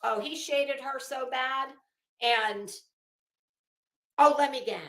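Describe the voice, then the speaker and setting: An American woman hosting a livestream, chatting casually with viewers in a sarcastic, blunt, sweary and animated way. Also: high-pitched, slow pace. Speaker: livestream host. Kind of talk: casual chat with live viewers. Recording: roomy, echoey sound.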